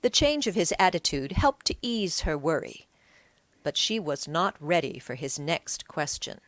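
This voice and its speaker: A woman's voice: deep voice, sing-song, measured pace, a bit bright, dry recording. A woman reading scripted story narration as an audiobook narrator.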